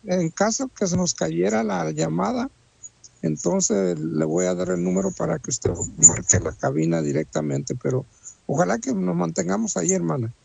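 A male radio host speaking live from a studio booth, greeting listeners with church blessings. His voice is heavily gravelly and husky, set a little high for a man. He leans on fillers as he talks. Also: animated, moderate pace, warm tone, dry recording, dull audio. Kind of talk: live radio show hosting. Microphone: studio microphone, talking over a phone line.

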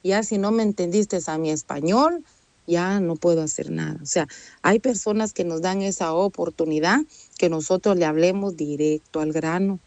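A woman's voice: lowish voice, moderate pace, darkish tone, no room sound. A woman preaching a sermon in an earnest, conversational way, often adding "amén" and "¿verdad?". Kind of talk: Spanish-language sermon.

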